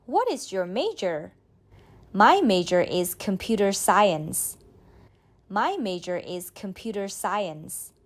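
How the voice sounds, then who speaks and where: Woman reading practice lines real slow, bouncy up-and-down voice. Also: medium pitch, very animated. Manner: repeats each line slowly and clearly. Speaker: model speaker in a language drill. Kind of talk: slow scripted drill reading.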